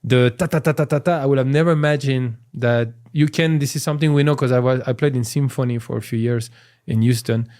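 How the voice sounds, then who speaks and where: A man's voice: mid-range voice, quick pace. A man with a French accent chats casually as a podcast guest. He tells stories with energy and acts out the voices of people he quotes. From France. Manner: animated storyteller, acts out quotes. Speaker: comedian guest.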